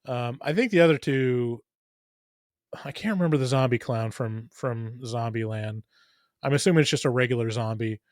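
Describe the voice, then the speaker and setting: A man's voice: medium pitch, normal speed, no echo, clean studio sound. A man co-hosting a podcast, talking casually and tentatively as he thinks aloud. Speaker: podcast co-host.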